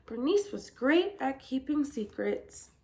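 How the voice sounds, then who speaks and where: Female picture-book storyteller, higher-pitched, animated intonation, deliberate emphasis. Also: a little hiss or hum. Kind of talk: children's picture book read-aloud.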